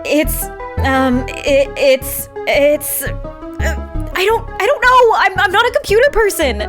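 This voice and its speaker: Woman playing a very high-pitched, flustered contestant. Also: lively intonation, slow, heavily acted. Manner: stammering, panicky. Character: flustered, anxious female game show contestant. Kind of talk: audio drama acting. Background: music.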